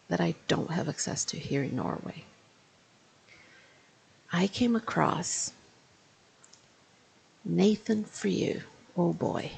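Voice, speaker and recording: A woman in her fifties, an English teacher, giving a spoken video review in a deliberate, explanatory way and slowing down to stress key phrases. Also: lowish voice, vocal fry, darkish tone, a little hiss or hum, low-quality recording. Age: 50s.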